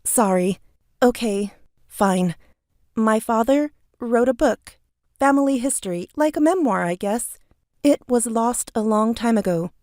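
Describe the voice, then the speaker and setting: A woman's voice: fairly high pitch, expressive, measured pace, darkish tone, no echo, studio quality. A woman acting a guarded, irritable magician who gives evasive, clipped answers in an audio drama. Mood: defensive.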